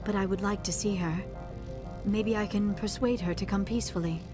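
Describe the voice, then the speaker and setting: A woman playing a gentle, earnest young alien who speaks formally and carefully. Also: medium pitch, fairly even intonation, a little fast, music in the background, lightly acted. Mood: earnest, sad. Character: gentle, earnest young female alien, conflicted and soft-spoken. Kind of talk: game cutscene dialogue.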